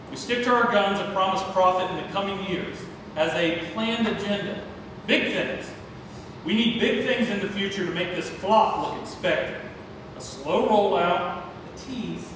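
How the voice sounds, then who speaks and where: A man playing a harried, cynical toy executive ranting urgently in a play read-through. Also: high-pitched. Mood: angry, urgent. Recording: echoey hall, distant mic, heavy noise.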